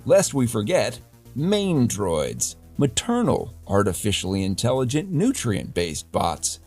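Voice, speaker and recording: A man's voice: medium pitch, wildly swooping pitch, a little slow, a little mellow, faint music behind, exaggerated voice. A man playing a wry, defiant news host in a dystopian future city, delivering a satirical scripted newscast in a theatrical, mock-grand way. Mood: mock-salesman.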